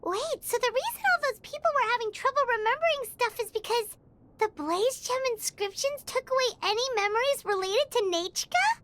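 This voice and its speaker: A woman voicing a tiny, excitable floating female companion creature who fires off shrill, startled questions in a video game. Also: moderate pace, a little hiss or hum. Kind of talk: video game dub acting. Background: game music.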